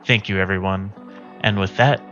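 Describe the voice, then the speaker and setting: A man's voice: low voice, fairly even intonation, moderate pace. A man speaking to listeners as the host of a tabletop adventure show. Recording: slightly dull audio with faint music.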